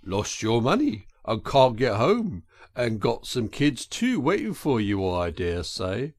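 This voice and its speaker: A man's voice: medium pitch, sing-song, slow pace, dry recording, studio quality. A man voicing a burly, kindly working-class engine driver for a storybook, folksy and reflective in manner.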